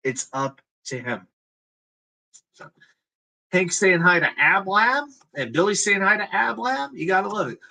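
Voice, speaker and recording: A man hosting a live sports talk stream, chatting in an animated way and greeting viewers as he goes. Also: sits high, unhurried, vocal fry, pauses often, poor audio. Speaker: sports talk show host.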